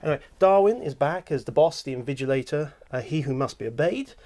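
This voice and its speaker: A British man hosting a casual chat in an audiobook studio, joking and chatting quickly. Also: fairly high pitch, expressive, warm tone. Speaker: host and audiobook producer.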